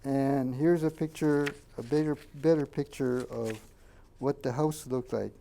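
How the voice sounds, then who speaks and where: An older man, a Japanese first-language speaker from Canada, recalls his childhood in a halting oral history interview and points out photos. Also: medium pitch, steady tone, gravelly, dark tone, a little hiss or hum, rough recording. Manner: halting, many fillers and restarts, pointing out photos.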